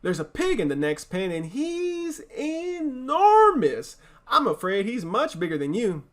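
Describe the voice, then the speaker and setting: A man voicing a calm, observant female spider in a storybook, measured and polite. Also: extremely high pitch, pitched above the performer's own voice, exaggerated voice. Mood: calm, curious.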